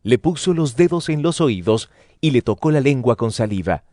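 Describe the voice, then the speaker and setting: A man's voice: mid-range voice, lively intonation, normal speed, dark tone, no echo, studio quality. A man narrating scripture from a script for an audio drama.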